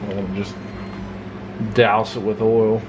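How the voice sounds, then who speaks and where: A man with a fairly low voice talks through a pocket knife in a relaxed, rambling, folksy way, filling his pauses with "uh" and "you know." He is at a workbench at home, recorded on the camera mic from a little off-frame. A steady hum of room noise runs under his voice. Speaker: knife reviewer. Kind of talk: casual hands-on product review. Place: home workshop desk, indoors.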